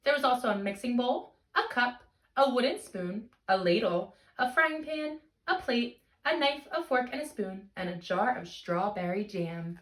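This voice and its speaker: A woman hosting storytime like a teacher, reading a picture book to young children in an animated, expressive way. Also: on the high side, measured pace, roomy sound, distant mic, poor audio. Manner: animated, expressive teacher talk with simple questions and explanations.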